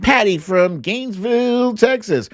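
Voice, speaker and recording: A man's voice: high-pitched, lively intonation, a little slow, no echo, studio quality. A man hosting a livestream in a casual, chatty style, with a playful sing-song way of talking. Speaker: livestream host. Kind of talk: casual livestream chat, reading out viewer greetings.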